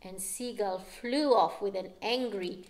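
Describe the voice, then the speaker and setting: Slow, expressive middle-aged woman reading aloud. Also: medium pitch, very noisy. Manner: deliberate, dramatic storytelling for young children. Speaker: teacher reading to children. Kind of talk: expressive picture-book read-aloud with explanatory asides. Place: living room at home.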